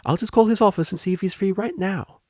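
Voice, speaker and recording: Man putting on a high, chirpy unicorn receptionist lady. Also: quick pace, a little mellow, dry recording, clean studio sound, natural voice. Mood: eager. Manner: gushing, overly polite. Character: over-eager, chirpy female unicorn receptionist.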